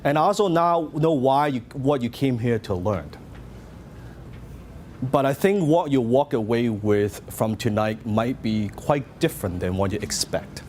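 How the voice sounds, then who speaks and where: A man's voice: mid-range voice, measured pace, slight background noise. A middle-aged man with a Hong Kong accent, speaking to a live seminar audience and asking them questions as he teaches. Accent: Hong Kong Cantonese. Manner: lively, interactive, asks the audience questions.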